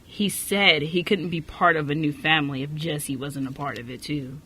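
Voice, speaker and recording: Radio play role: formal, disapproving woman orphanage director, acted by a woman, blunt admonishments in a bright, forceful tone. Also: deep voice, steady tone, normal speed, a little hiss or hum. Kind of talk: amateur radio play acting.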